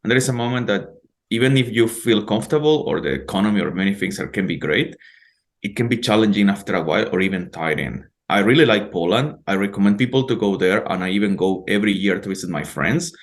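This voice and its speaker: Low-voiced man from El Salvador. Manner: measured, with hedges like "let's say" and "I would say". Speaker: podcast guest. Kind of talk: casual interview.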